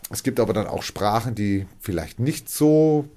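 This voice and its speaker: Animated man explaining things. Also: on the low side, normal speed. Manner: animated explaining, acts out quoted dialogue, frequent restarts. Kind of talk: casual explanatory conversation.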